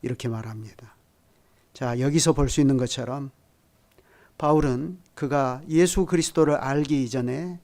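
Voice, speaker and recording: Measured older male pastor preaching. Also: medium pitch, a little mellow. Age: 60s. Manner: measured preaching that swells to emphatic peaks; speaks directly to the congregation with light chuckles. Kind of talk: sermon at a midweek prayer service.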